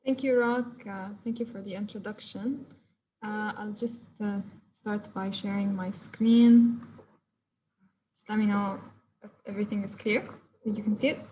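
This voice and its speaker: A woman's voice: mid-range voice, steady tone, measured pace, warm tone, a little hiss or hum, poor audio. A young woman from Egypt, a furniture designer, giving an online presentation of her work with many fillers and restarts. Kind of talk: online presentation with shared screen. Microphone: video call.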